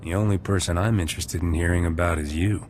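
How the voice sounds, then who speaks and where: An actor playing a suave, flirtatious smuggler captain in a video game, with a smooth way of talking. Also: male voice, low-pitched, fairly even intonation, quick pace, a little mellow, faint music behind, lightly acted.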